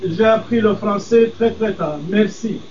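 Older priest, distant and dull-sounding. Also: male voice, high-pitched, a little mellow, slight background noise. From Middle East. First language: Aramaic. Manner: firm, deliberate, measured emphasis. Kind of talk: interview answers.

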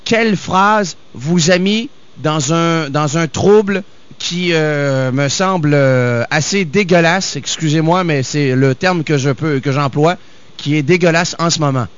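A man interviewing a priest, hesitant and halting, with many fillers and restarts. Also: a little high, clear, resonant tone. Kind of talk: interview questions. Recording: dull lo-fi sound.

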